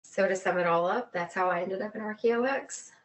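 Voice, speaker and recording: A woman speaking as an interview guest in a casual, earnest way, halting and repeating phrases for emphasis. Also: mid-range voice. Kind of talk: casual conversational explanation.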